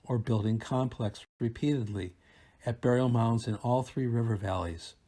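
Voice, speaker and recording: A man reading a scripted academic presentation aloud in a formal, measured and deliberate manner. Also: medium pitch, mellow. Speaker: academic researcher presenting a paper.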